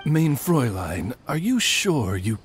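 A polite raven familiar with a lively, rising and falling delivery. He is formal, deferential and slightly hesitant, a scripted game servant over faint music. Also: medium pitch, unhurried. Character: courteous male talking raven familiar, deferential butler-like servant. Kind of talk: scripted video game dialogue.

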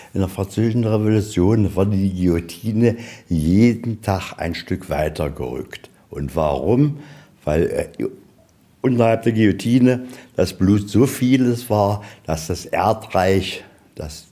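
A middle-aged man with a deep, dark-toned voice works as a museum guide. He explains in a plain, colloquial storytelling style, setting up rhetorical questions as he goes. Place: inside a museum, walking tour.

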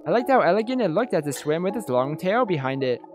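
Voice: medium pitch, big pitch swings, quick pace, over music, natural voice. A let's play host voicing a wistful young woman in a visual novel. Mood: wistful, casual.

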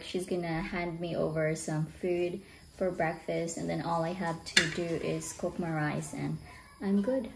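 Relaxed young Filipino woman vlogging. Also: lowish voice, creaky, a little hiss or hum. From Cebu, Philippines. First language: Bisaya. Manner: relaxed, chatty, says "um" and "like" often. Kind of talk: casual vlog chat. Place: rural house in the Philippines, indoors and outdoors. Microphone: handheld camera held at arm's length.

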